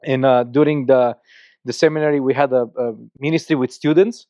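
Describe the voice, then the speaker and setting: A man's voice: mid-range voice, steady tone, fairly quick, warm tone, no echo. A middle-aged man from Romania with a Romanian accent, a podcast guest telling his story in earnest, with many restarts and repeated words. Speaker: guest, church planter. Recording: slightly muffled dry podcast audio.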